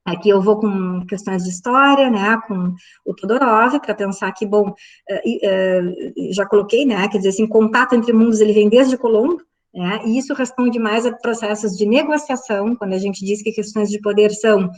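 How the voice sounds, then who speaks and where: A woman from giving an academic lecture, pausing often with fillers and checking in with her listeners. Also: mid-range voice, far from the mic. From southern Brazil. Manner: halting, many fillers, checks in with the audience. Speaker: university lecturer.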